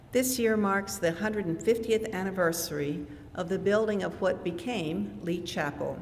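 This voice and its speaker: Woman with a formal welcome in a reverberant hall. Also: fairly low pitch. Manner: measured, polite, formal. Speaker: museum director hosting a lecture. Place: large chapel lecture hall. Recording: long hall reverb, faint noise.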